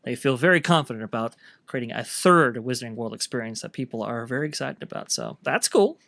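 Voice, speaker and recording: A man's voice: medium pitch, expressive, fairly quick, creaky, a bit bright, dry recording. A man giving casual, conversational commentary as a panelist.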